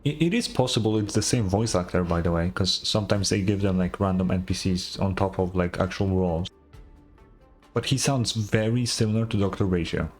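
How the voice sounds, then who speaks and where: A woman's voice: a bass voice, brisk, darkish tone, soft background music. A woman game streamer giving casual, informal live commentary with a wry, sweary manner.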